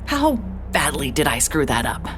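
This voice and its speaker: A woman's voice: extremely low pitch, sing-song, moderate pace, background noise. An actress narrating in first person as a sarcastic, guarded woman investigator who swears when she is upset. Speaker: narrator and lead character.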